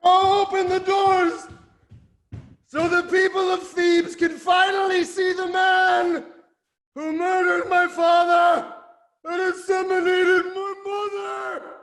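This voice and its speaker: A man voicing the blinded King Oedipus, shouting in anguish and close to crying, in a reading held over a video call. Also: extremely high pitch, level tone, takes it slowly, echoey room, pitched above the performer's own voice, full character voice, rough recording. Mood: bitter.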